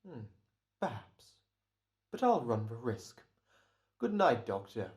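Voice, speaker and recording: Guy acting a smug gentleman crook in old radio drama, dryly polite, really animated pitch. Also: slow pace. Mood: suave defiance.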